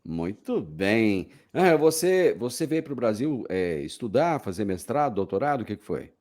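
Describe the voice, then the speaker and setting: A man's voice: fairly low pitch, big pitch swings, moderate pace, darkish tone. A man hosting a casual interview, asking friendly, joking questions. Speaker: host.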